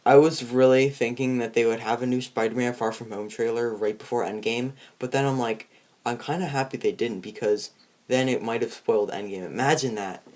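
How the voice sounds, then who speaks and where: A man's voice: medium pitch, steady tone, brisk, a little mellow. A man hosting a casual movie discussion, rambling and often stumbling over his words as he talks. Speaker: host of a movie review channel.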